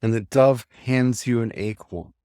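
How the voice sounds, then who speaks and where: A man leading a guided meditation, giving gentle instructions in short phrases with unhurried pauses. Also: medium pitch, clear, resonant tone, no room sound, studio quality.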